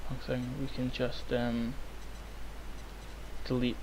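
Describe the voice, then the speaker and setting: A young man, hoarse and croaky from illness, rambling with many ums through a software tutorial. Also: mid-range voice, completely flat delivery, takes it slowly, low-quality recording. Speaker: tutorial maker. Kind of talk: screen-recorded software tutorial. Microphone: cheap microphone. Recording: faint hiss, cheap mic.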